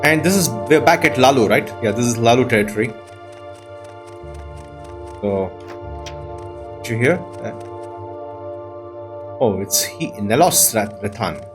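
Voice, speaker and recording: A man's voice: medium pitch, animated, a little slow, long pauses. A man hosting a playthrough, thinking aloud and exclaiming as he gives casual gameplay commentary. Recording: game music behind the voice.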